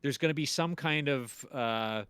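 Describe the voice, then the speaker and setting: A man hosting a casual chat in halting, wandering sentences. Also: mid-range voice, a bit bright, clear, resonant tone, dry recording. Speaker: show host.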